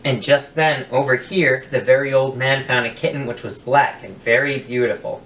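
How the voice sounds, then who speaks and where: Male picture-book reader, expressive storyteller for children, roomy sound a little off the mic. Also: mid-range voice, moderate pace. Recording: roomy, distant mic, faint noise.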